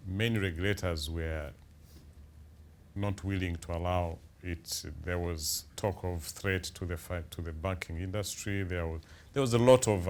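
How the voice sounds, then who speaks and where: Very deep-voiced hesitant male panelist. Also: a little hiss or hum. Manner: halting, with frequent repeated words and fillers. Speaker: central bank governor. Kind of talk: panel discussion. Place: conference hall panel.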